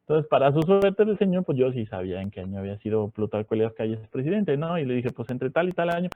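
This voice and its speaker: A man's voice: mid-range voice, very animated, fast talker, no room sound. A man with a Mexican accent giving an informal lecture as a medical teacher, repeating key points and often checking that students follow. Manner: didactic, repeats key points with frequent checking tag questions. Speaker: medical school lecturer, doctor. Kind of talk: informal spoken lecture with anecdotes. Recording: dull phone-like lo-fi audio.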